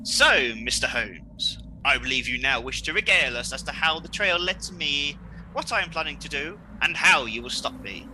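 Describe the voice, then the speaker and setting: Theatrical male villain, piercingly bright high voice, swooping delivery, pompous, petulant, comedy radio drama. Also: lively intonation, moderate pace, a little hiss or hum, poor audio. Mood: smug, taunting. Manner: melodramatic, grandly pompous. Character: flamboyant, egotistical comic villain, theatrical and petulant. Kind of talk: comedy radio play acting.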